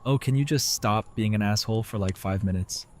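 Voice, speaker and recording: Man acting a low-voiced, blunt survivor. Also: a little fast, a little mellow, slight background noise, natural voice. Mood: exasperated. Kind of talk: audio drama acting.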